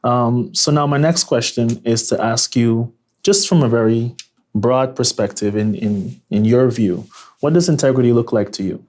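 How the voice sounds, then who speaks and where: A man's voice: medium pitch, moderate pace, a little mellow. A man hosting an interview, asking polite, formal, prepared questions. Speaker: interview host.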